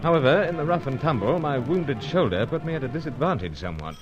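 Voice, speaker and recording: An actor playing a gentlemanly, dryly understated British secret agent, with clipped old-fashioned speech, in a radio adventure serial. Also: male voice, mid-range voice, lively intonation, fast talker, muffled recording, lightly acted. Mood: brisk, narrating.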